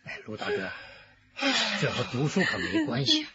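A man's voice: on the high side, huge pitch swings, moderate pace, bright, forceful tone, lo-fi sound, heavily acted. A man acting in a radio drama as a kindly villager consoling bereaved neighbours. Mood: consoling, proud.

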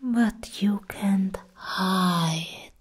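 Woman playing a menacing goddess of death, very slow, breathy whisper, proud would-be queen, close-miked ASMR roleplay. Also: mid-range voice. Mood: scornful. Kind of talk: soft-spoken ASMR roleplay. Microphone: close ASMR microphone.

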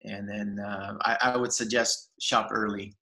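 A man's voice: on the low side, flat delivery, measured pace, vocal fry, bright, forceful tone. A man in his fifties, a market manager, talking eagerly over a video call with many fillers and restarts. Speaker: farmers market general manager. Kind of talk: casual video-call interview. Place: home room with shelves, indoors. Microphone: laptop webcam on video call.

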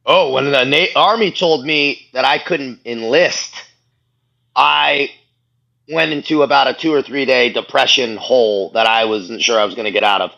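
A man's voice: medium pitch, normal speed, vocal fry, pushed and bright. A man in his thirties, a guest on a video call, telling his story bluntly and laughing at himself. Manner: blunt, self-mocking, laughs often. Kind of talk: candid storytelling interview. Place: living room at home. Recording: dull lo-fi video call audio.

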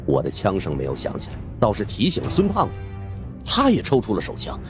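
A male audiobook narrator with a deep voice tells a suspense tale in the first person. His delivery is dramatic and extremely varied in pitch, over a clear music bed. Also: fairly quick. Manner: animated, dramatic storytelling.

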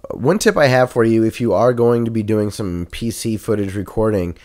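A man giving a casual Let's Play tutorial into a USB condenser mic at his home gaming setup, talking relaxed with many uhs and ums. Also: lowish voice, normal speed. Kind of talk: casual software tutorial and game commentary. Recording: voice over loud game music. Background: game music.

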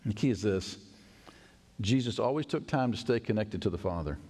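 A middle-aged man preaching a sermon as pastor in a large church sanctuary, animated, acting out hurried voices and dropping to a hush. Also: on the low side, breathy edge. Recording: long church reverb.

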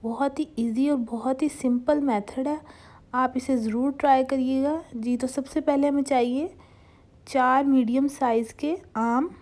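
A young woman hosting a home cooking channel, calmly talking viewers through a recipe step by step and mixing English cooking terms into her speech. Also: high-pitched, unhurried. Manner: calm, instructive, mixes English cooking terms into Hindustani speech.

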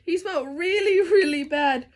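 A woman's voice: very high voice, moderate pace, a little mellow. A young woman reading a children's picture book aloud, giggly and struggling to keep a straight face. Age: young adult. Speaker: storybook reader. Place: living room sofa, indoors.

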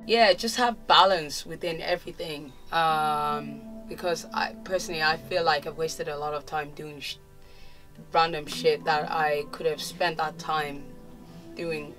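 A young woman from Zimbabwe, a musician, giving relaxed, thoughtful interview answers in a casual way, recorded indoors in a studio. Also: deep voice. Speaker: musician guest. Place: indoor studio with clothing racks.

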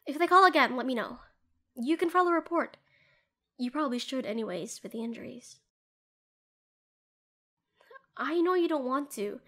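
High-pitched protective female doctor, played by a woman. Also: lively intonation, brisk, heavily acted. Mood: angry, threatening, then concerned, firm. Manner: earnest, soothing, hushed at times, fierce when protective. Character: protective, tender female doctor comforting an injured ex-lover. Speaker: voice actor in an audio roleplay. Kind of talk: scripted intimate audio roleplay addressed to the listener.

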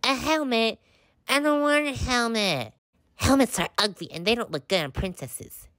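A woman voicing a whiny, impatient young girl in a pretend-play story, excitable and demanding. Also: a little high, moderate pace, no room sound, studio quality, natural voice. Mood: pouty. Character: whiny, impatient young girl, horse-loving princess type.

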